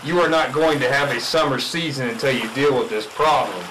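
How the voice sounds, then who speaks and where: Over clear background noise, a man plays an earnest young fish expert in a spoof radio drama. He is blunt and insistent, explaining things in a mid-range voice. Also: medium pitch, fairly quick, bright, forceful tone, natural voice. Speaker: amateur radio drama actor. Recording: noisy track with background ambience.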